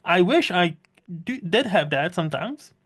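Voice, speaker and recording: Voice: mid-range voice, very animated, unhurried, fairly bright tone, breathy edge. A podcast host, not originally from Scotland, chatting casually and often laughing, with many "like"s. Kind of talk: casual conversation.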